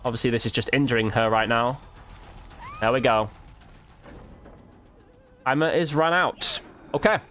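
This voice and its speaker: A man's voice: medium pitch, unhurried, long pauses, fairly bright tone, slight background noise. A man commentating casually over gameplay as a content creator, chatting informally and thinking aloud.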